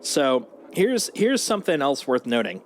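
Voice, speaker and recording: A man with a mid-pitched voice talks casually on a gaming stream. He rambles along in a loose, chatty way, with some noise and game sound behind him. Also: sing-song, unhurried. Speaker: live streamer playing a shooter game.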